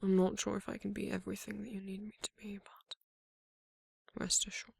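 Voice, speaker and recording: A performer voicing a tender, courtly vampire lover in an ASMR romance roleplay, breathy and often whispering, with slow, soothing, formal speech. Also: medium pitch, completely flat delivery, no echo, clean studio sound, heavily acted.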